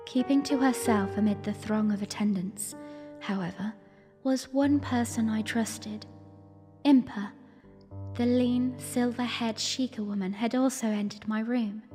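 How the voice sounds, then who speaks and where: A woman playing a young princess who narrates her own story in a formal, reflective audio drama style, earnest and weary with a quiet defiance. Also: fairly high pitch, fairly even intonation, a little slow, close to an everyday voice. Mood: reflective, wistful. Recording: long reverb, music underneath. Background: music.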